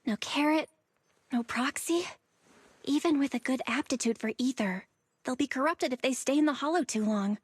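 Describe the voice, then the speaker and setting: A woman voicing a perky, quick-witted young tech operator in an anime-style game, teasing yet decisive. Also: high-pitched, breathy edge, dry recording. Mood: resolute.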